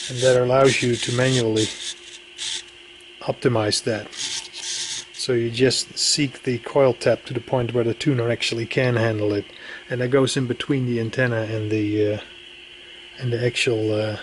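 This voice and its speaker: Guy casually showing off some gear, medium pitch, kinda halting, bit of noise behind him. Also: poor audio. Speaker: radio enthusiast presenting equipment. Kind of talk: casual hands-on demonstration narration. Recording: clear background noise.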